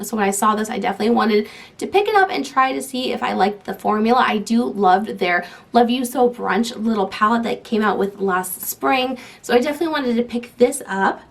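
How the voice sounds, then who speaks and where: A young woman vlogger, talking through a makeup tutorial in a chatty, enthusiastic way, with her voice a little off from a lingering cold. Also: fairly high pitch. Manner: chatty, enthusiastic, talks while applying makeup. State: voice affected by a cold. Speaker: beauty vlogger. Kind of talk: casual makeup tutorial talk.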